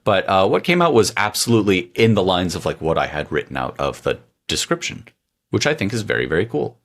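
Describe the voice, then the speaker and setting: A man's voice: sits low, sing-song, a little fast, fairly bright tone. A man co-hosting a tech podcast, chatting casually and joking playfully. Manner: playful, jokey, tangents. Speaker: podcast co-host.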